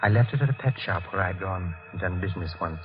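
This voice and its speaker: An American man acting in a 1940s radio horror drama as a tense, guilty, sleepless husband, guarded, clipped and halting. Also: deep voice, animated. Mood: grim confession. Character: tense, guilty, insomniac killer husband confessing, evasive. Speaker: actor and narrator in radio drama. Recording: dull vintage radio recording with music.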